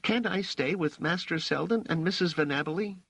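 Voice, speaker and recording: A man's voice: fairly high pitch, moderate pace, a bit bright, dry recording, pitched above the performer's own voice. A man voicing a brash, cheeky street boy of about twelve with slangy, ungrammatical speech, in an audiobook story. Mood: earnest, pleading. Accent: fictional working-class street dialect.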